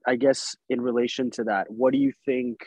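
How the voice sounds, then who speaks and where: A man's voice: medium pitch, fairly even intonation, normal speed, a little mellow, breathy edge, dry recording. A young man from Colombia hosting a podcast, asking long, rambling questions that often stumble. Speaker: podcast host. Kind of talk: casual interview conversation.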